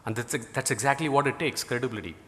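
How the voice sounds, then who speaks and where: A man's voice: mid-range voice, quick pace, a bit bright. A middle-aged man from India with an Indian English accent, a native Tamil speaker, giving a conference talk in a rambling way with lots of "you know" and dry jokes. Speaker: guest speaker. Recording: hall reverb.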